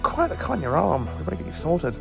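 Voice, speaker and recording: A man's voice: a little high, very animated, fast talker, gravelly, over music, heavily acted. A man playing an eccentric, kindly alien professor who travels through time, confident but given to hesitant asides in an audio drama. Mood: reassuring.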